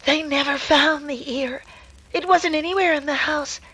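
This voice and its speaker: A woman playing a solemn lady who recounts eerie legends in a hushed, dramatic way, in a 1930s-style radio drama. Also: high voice, fairly even intonation, normal speed, slight background noise, poor audio. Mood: haunting, solemn. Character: Miss Nixon, eerie solemn woman telling a ghost legend.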